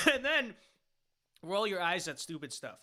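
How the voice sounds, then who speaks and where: A man with a high, bright voice gives loose, opinionated livestream commentary. He wanders through fillers and half-started sentences, then hammers his points with blunt, dismissive emphasis. The tone stays casual and edgy throughout. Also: big pitch swings, measured pace. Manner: rambling and opinionated, heavy fillers and restarts. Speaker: streamer reacting to his own panel appearance.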